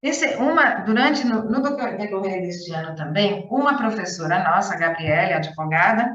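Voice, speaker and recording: A woman's voice: mid-range voice, lively intonation, moderate pace, fairly bright tone. A woman speaks in an everyday mid-range voice, giving a conference talk over a video call. She talks in a chatty way, restarting sentences and repeating words for emphasis. Her voice sounds far from the mic, with a roomy echo and dull, lo-fi call audio. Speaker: conference speaker, volunteer teaching coordinator.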